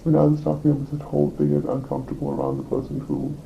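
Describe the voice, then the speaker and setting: A man speaks haltingly, stopping often and restarting his sentences, in a heavily gravelly voice pitched a little high. The recording sounds dull and lo-fi, with faint noise behind him. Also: monotone. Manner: halting, hesitant, with many fillers and restarts. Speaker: employee reporting a complaint. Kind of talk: recorded workplace meeting.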